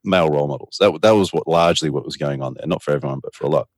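Middle aged guy, super low soothing voice, thinks out loud, dry close sound. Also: very fast, no echo. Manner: thoughtful, rambling, with dramatic bursts. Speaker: guest. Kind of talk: reflective conversational answers.

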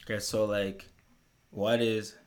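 A man's voice: on the low side, flat delivery, slow. A young man hosting a casual podcast, asking questions and nudging his guest along. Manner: easygoing, prompts with questions and "mm-hmm". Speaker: podcast host. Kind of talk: casual interview chat.

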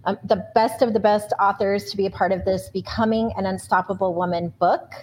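A woman's voice: medium pitch, fairly even intonation, a little fast, darkish tone, slight background noise, rough recording. A woman co-hosting a podcast who talks in an effusive, rambling way, often restarting her sentences. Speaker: podcast co-host. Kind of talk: podcast interview.

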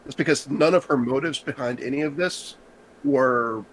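A man's voice: mid-range voice, moderate pace, rough recording. A man in a casual chat, patiently explaining ideas step by step.

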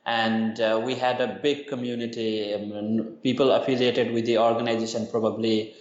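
A young man from Nepal, a university lecturer, answering interview questions with many pauses, fillers and restarts. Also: on the low side, level tone. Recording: dull, roomy remote audio.

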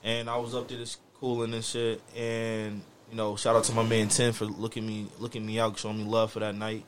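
A man's voice: fairly low pitch, monotone, brisk, slight background noise. An American man in casual group chat, telling stories emphatically with slang, swearing and frequent verbal tags. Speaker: podcast co-host.

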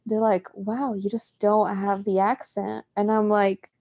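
A young woman from Mexico co-hosting a podcast, telling stories in a casual, animated way and acting out quoted lines. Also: mid-range voice, measured pace, vocal fry. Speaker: podcast co-host. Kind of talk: casual chat.

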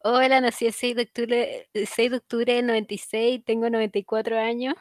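A woman's voice: on the high side, little pitch movement, brisk, no echo, studio quality. A woman in her twenties with a Chilean accent, chatting casually in a slangy, self-deprecating way as a podcast co-host. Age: 20s. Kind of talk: casual chat.

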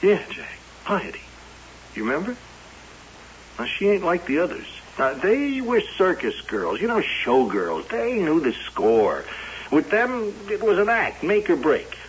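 An actor playing a blunt, colloquial carnival sidekick in an old-time radio drama, talking insistently. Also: male voice, high voice, huge pitch swings, unhurried, full character voice. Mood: insistent, cautioning. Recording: muffled, hissy old radio recording.

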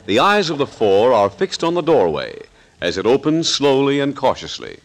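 An actor playing the announcer of a 1940s suspense serial, measured and dramatic. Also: male voice, medium pitch, sing-song, a little hiss or hum. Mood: suspenseful. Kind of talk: 1940s radio scripted narration. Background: faint music.

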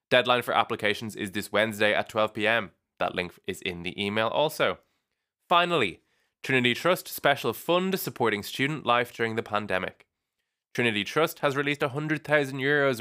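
A young man reads a weekly student union bulletin. His voice sits fairly low but comes across bright and forceful, and he keeps it brisk and upbeat, lifting at the start of each item. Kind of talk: scripted weekly bulletin reading.

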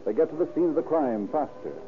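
A man's voice: fairly high pitch, animated, fast talker, over music. Tinny 1930s radio announcer, male. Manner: formal, emphatic announcing. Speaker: announcer and narrator. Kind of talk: 1930s radio scripted narration and ad reading.

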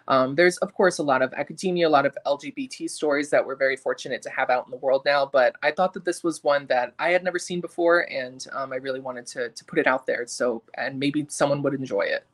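A man in his mid-twenties, a guest author, answering interview questions in a friendly, casual way with frequent ums. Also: a little high. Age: 20s. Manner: friendly, many ums, lively swings in loudness.

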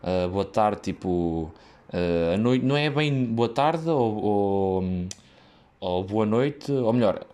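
A man's voice: on the low side, expressive, slow pace, darkish tone. Rambling Portuguese-accented young man. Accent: European Portuguese. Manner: rambling, digressive, many fillers and restarts. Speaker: waiter telling a work story. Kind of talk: casual storytelling monologue.

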